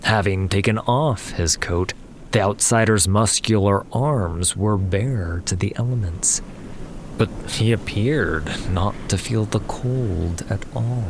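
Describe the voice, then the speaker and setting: A man narrating a fantasy story as an audio drama, with measured, deliberate, dramatic phrasing. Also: on the low side, background noise. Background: prominent background music.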